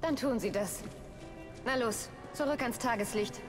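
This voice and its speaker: An actress playing a confident, brisk young woman assassin in a Victorian video game, with a teasing manner. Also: a little high, music in the background, natural voice. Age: young adult. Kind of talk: German video game dub acting.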